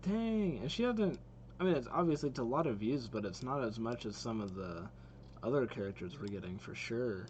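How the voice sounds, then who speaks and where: A man hosting a reaction video, giving casual commentary as he thinks aloud in a halting way. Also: mid-range voice, lively intonation, a little mellow, muffled recording, a little hiss or hum.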